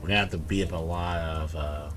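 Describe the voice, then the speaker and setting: A man's voice: a bass voice, fairly even intonation, fast talker, fairly bright tone, slight background noise. A man giving casual gameplay commentary, offhand and rambling as he thinks aloud. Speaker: gamer commentating on own playthrough.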